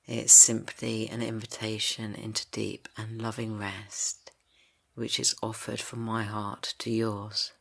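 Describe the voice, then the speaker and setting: A man guiding a yoga nidra rest for grief, speaking slowly and softly, drifting into whispers. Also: fairly low pitch, completely flat delivery, vocal fry. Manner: slow, soft and soothing, drifting into whispers. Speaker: meditation guide. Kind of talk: guided yoga nidra meditation.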